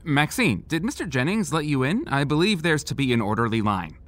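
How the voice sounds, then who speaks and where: A man playing a patient, authoritative small-town sheriff in an audio drama, measured and gently firm. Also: on the high side, very animated, dry recording. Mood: exasperated, firm. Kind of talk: naturalistic audio drama acting.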